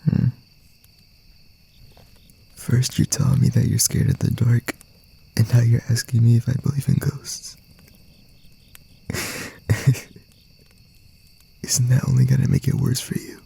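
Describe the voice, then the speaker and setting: A man playing a gentle, teasing young boyfriend in an intimate ASMR roleplay, murmuring softly and reassuringly in a breathy, gravelly voice. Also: level tone, exaggerated voice. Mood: musing. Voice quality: soft, breathy, husky, gravelly low murmur. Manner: unhurried, rambling, reassuring, with pauses.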